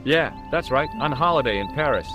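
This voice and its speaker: A man's voice: mid-range voice, expressive, a little fast, music in the background, natural voice. A man voicing a wry, easygoing young American tourist in a video game, conversational and a little sardonic. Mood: chatty, eager. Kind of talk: video game dialogue and narration.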